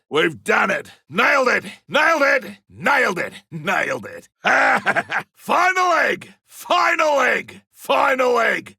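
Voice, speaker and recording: An actor playing a gruff, cocky, battle-hardened monster hunter in a video game, with a raspy, gravelly voice, barking urgent combat callouts. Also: male voice, extremely high pitch, pushed and bright, dry recording, clean studio sound, heavily acted. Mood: brisk, rallying. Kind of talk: video game character voice lines.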